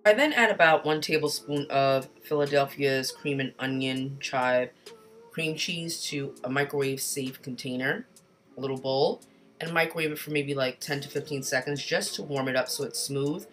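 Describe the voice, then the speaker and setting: A woman narrating a cooking video in a voice-over, chatty and relaxed, giving casual step-by-step instructions. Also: very deep voice, moderate pace. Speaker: cooking channel host. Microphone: home voice-over mic.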